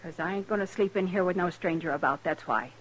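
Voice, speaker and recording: An actress playing a hostile, suspicious frontier wife in a radio western, with curt, defensive rural speech. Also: female voice, lowish voice, fast, lightly acted. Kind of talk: 1950s radio western acting. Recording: noisy vintage 1950s radio recording.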